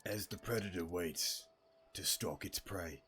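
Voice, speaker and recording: A man putting on a mock-solemn, deliberate nature documentary narrator as a parody. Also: low-pitched, pushed and bright, slightly rough, soft background music, pitched below the performer's own voice, exaggerated voice.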